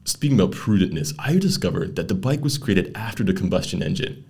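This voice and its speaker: A man's voice: deep voice, big pitch swings, fast talker, darkish tone, husky. A young man, hosting a casual podcast interview with chatty, playful asides. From Netherlands. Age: young adult. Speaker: podcast host.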